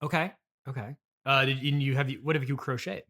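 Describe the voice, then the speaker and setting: Halting American man hosting a comedy interview. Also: medium pitch, fairly even intonation, pushed and bright. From United States, Illinois. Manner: halting, politely baffled straight man. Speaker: podcast host and interviewer. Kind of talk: casual improvised comedy interview.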